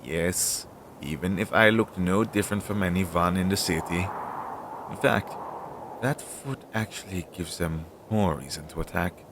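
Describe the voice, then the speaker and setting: An anxious demon familiar in crow's guise, voiced by a man for an audio roleplay. He talks slowly in a fairly low voice, hesitant yet chatty and devoted to his master. Clear background noise runs through the rough home recording. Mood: nervous. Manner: hesitant, chatty, with sighs and trailing asides. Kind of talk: scripted audio roleplay addressed to the listener.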